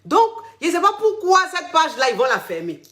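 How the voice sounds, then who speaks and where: A man's voice: extremely high pitch, huge pitch swings, normal speed, pushed and bright. Effusive man in his forties. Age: 40s. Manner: effusive, repeats phrases, tags many sentences with a filler word. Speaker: relationship coach. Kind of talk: casual live stream to followers.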